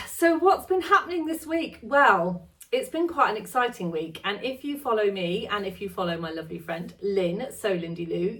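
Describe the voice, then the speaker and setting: A woman chatting casually to camera about sewing from her home studio, her voice a little gravelly, rambling and correcting herself as she goes. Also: mid-range voice, distant mic. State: slightly gravelly voice. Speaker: sewing vlogger.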